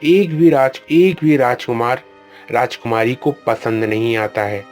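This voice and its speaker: A man's voice: mid-range voice, steady tone, unhurried, darkish tone, faint music behind. A man narrating a children's moral cartoon story in a plain, matter-of-fact way.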